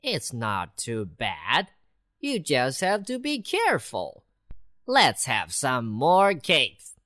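A woman voicing a small, lively city mouse in a children's storybook, very high and squeaky. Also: takes it slowly, full character voice. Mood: cheerful. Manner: very high, excited, bossy whisper-to-squeal.

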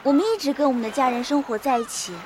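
A woman's voice: sits high, expressive, rapid-fire, background noise. An actress playing a carefree, curious young village girl in a radio drama, innocent, playful and inquisitive. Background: background music.

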